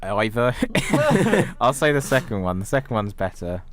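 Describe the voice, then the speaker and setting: A man's voice: medium pitch, wildly swooping pitch, normal speed, no room sound. A man co-hosting a podcast, talking casually about films with his fellow hosts. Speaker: podcast co-host.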